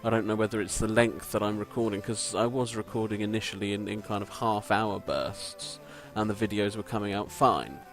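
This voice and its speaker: A man with a croaky voice, giving rambling, self-deprecating gameplay commentary from a home mic and swearing casually. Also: fairly low pitch, brisk, few pauses, faint music behind. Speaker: let's play commentator. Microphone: home desk microphone.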